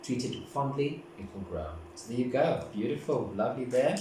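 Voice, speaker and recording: A man from Africa, giving a casual, gentle, meandering tarot reading and often repeating himself. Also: medium pitch, expressive, normal speed. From South Africa. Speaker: tarot card reader. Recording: very echoey room, distant mic, background noise.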